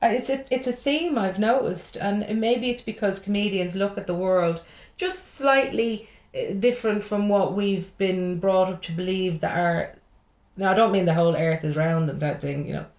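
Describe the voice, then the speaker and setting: A woman's voice: mid-range voice, normal speed, darkish tone, roomy sound. Irish woman comedian, rambling and swearing. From Ireland. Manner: swears freely, rambling and jokey. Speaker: stand-up comedian, podcast guest. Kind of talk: casual podcast chat.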